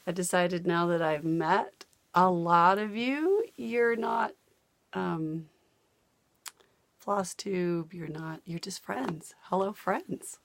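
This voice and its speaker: A woman's voice: on the low side, lively intonation, slow pace, creaky. An older woman chatting casually to camera about her hobby, her voice partly worn out from days of talking, rambling and laughing easily. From United States. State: losing her voice. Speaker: cross-stitch vlogger. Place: home.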